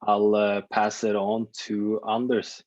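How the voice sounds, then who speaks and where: Flat, low-voiced man from Norway, lo-fi sound. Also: slow. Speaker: community engagement worker. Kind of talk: panel introduction. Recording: dull lo-fi sound.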